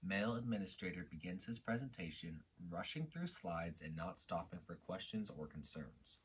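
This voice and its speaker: Very low male stage-direction reader. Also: lively intonation, normal speed, poor audio. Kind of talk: scripted narration of stage directions. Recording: faint background noise, clicks.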